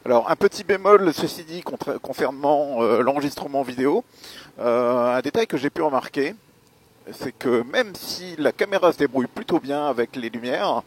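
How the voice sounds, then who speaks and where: A man giving a spoken gear review as a motovlogger, explaining things in a measured, hesitant way with pauses. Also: mid-range voice, lively intonation, husky. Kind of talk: spoken product review while riding.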